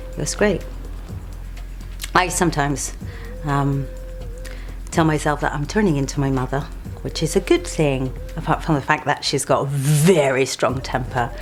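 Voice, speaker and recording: A middle-aged woman from Chile, thoughtfully recalling her childhood in a documentary interview, with frequent pauses and ums. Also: rumbling low, vocal fry. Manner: reflective, unhurried, frequent ums, light laughs. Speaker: artist interviewee. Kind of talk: documentary interview, personal recollection.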